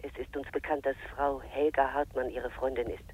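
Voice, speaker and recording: An actor playing a formal, subdued police officer breaking bad news over the telephone in a radio drama. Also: male voice, medium pitch, flat delivery, moderate pace, husky. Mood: grave, official. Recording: faintly noisy telephone line.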